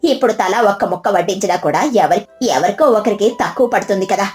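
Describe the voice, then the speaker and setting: Sensible elder sister-in-law acted by a woman, measured, worried but caring, storybook dialogue, soft music behind. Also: mid-range voice, close to an everyday voice. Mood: concerned.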